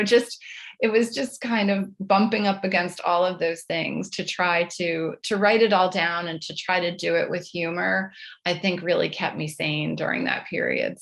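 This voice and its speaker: Middle-aged woman, lower-pitched voice, interview guest, chatty, anecdotal, self-deprecating, conversational. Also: creaky. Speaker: guest author.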